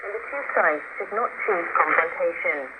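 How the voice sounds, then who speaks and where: A woman news anchor reading scripted news in a measured, formal way. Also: on the low side, animated. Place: broadcast studio, heard over shortwave radio. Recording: very noisy shortwave radio broadcast.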